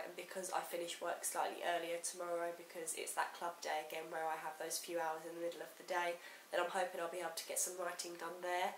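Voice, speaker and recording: Woman, croaky with a cold, casual rambling vlogger; roomy, off-mic sound. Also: lowish voice, distant mic. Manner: rambling, talks straight to the camera. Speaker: writing vlogger. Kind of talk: casual chatty vlog update. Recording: roomy echo, off-mic, faint hiss.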